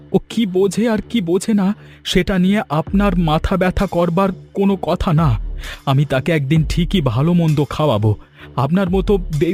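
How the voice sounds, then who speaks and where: A man's voice: on the high side, darkish tone, natural voice. An actor playing a poor, hardworking farmer father in a cartoon folk tale, earnest and plaintive, with wounded dignity. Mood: wounded pride.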